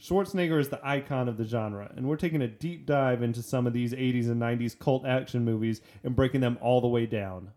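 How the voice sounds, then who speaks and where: Casual man co-hosting, medium pitch. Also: a little fast, hardly any pauses, warm tone. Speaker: podcast co-host. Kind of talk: casual chat, film commentary.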